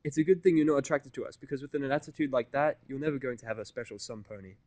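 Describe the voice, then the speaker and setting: A man's voice: mid-range voice, fast talker, dark tone, no echo, voice pushed up, close to an everyday voice. Guy doing a huffy girl pony for an audio story, snappy, indignant, voice pushed up. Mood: scornful. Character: indignant, combative female pony, protective and snappy.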